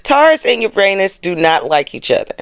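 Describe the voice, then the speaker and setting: A woman's voice: medium pitch, big pitch swings, brisk, no room sound. A woman hosting an astrology show, chatting casually to her audience in a sassy, playful, slangy way. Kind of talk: casual chatty explainer to camera.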